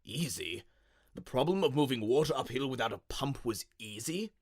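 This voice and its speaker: A man's voice: mid-range voice, lively intonation, normal speed, no echo, clean studio sound. A man voicing a bitter, jealous old inventor, curt and grudging, often muttering, in a radio-play style story. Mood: scornful, grudging.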